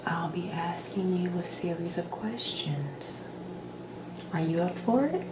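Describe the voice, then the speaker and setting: A woman speaking softly and gently, often slipping into a whisper, in a measured ASMR roleplay as a doctor. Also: lowish voice, lively intonation. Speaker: ASMR creator playing a doctor. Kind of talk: soft-spoken ASMR roleplay. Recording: roomy echo with clear background noise.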